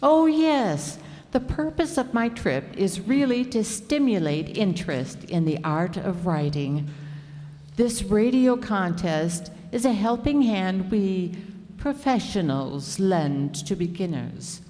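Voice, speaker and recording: A woman's voice: mid-range voice, lively intonation, slow, mellow. A woman playing an affected, self-important lady poet, gushing and condescending, in an old-time radio comedy. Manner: pompous, gushing. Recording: echoey, reverberant hall.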